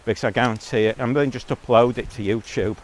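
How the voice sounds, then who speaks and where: Man, mid-pitched voice, blunt and digressive, casual vlog talk outdoors. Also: fairly even intonation, quick pace, low-quality recording. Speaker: vlogger. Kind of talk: casual rambling monologue.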